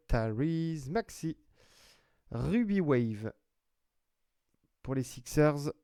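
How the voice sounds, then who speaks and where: Male card-break host, slow and chatty, dry close sound, lists names as he opens packs. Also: mid-range voice, dark tone, no echo. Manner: chatty, announces player names one by one, fillers and restarts. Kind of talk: live commentary while opening card packs.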